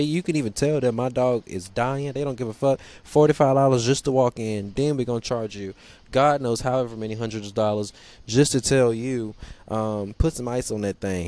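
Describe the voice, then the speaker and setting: A man's voice: lowish voice, darkish tone. A young man hosting his own show alone, talking loosely and profanely in joking rants into a handheld mic, seated in a small studio set. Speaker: podcast host. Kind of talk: casual solo monologue. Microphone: handheld microphone.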